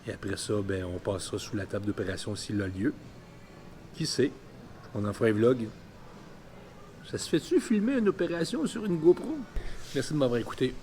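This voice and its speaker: A man's voice: medium pitch, animated, moderate pace, pauses often, darkish tone, slight background noise. A man of about fifty with a Quebec French accent, telling a personal story candidly and colloquially in a vlog. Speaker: vlogger.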